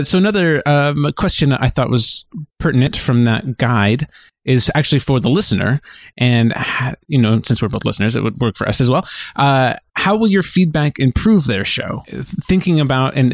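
A man co-hosting a podcast in casual discussion, talking in a halting, reflective way and circling back on his phrases. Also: medium pitch, no room sound, studio quality. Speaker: podcast co-host.

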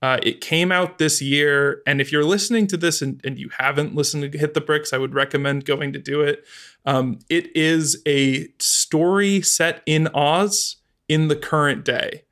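A man's voice: on the high side, fairly even intonation, moderate pace, fairly bright tone. A man talking conversationally as a podcast host, effusive and enthusiastic as he recommends things.

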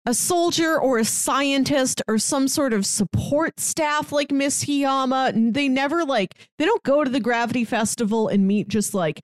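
A woman's voice: high-pitched, moderate pace, few pauses, no echo, clean studio sound. A woman co-hosting a manga discussion podcast, talking casually and slipping into little mock dialogues to make her points. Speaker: podcast co-host reviewing manga.

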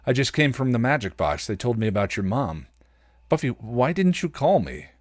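A man's voice: mid-range voice, very animated, moderate pace, no echo, studio quality, voice pushed up. A man voicing an indignant, jealous ex-soldier boyfriend who complains, in a story reading. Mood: upset.

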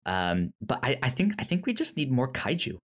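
A man co-hosting a podcast in casual chat, halting and stammering over repeated words. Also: mid-range voice, very animated. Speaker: podcast co-host. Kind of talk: casual chat about shows and films.

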